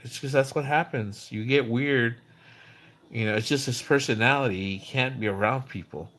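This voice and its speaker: A man's voice: mid-range voice, normal speed, a bit bright. A man livestreaming in a casual, slangy way, rambling conversationally as he tells stories about people. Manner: acts out quoted dialogue when recounting. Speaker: live streamer. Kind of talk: casual livestream chat about another streamer.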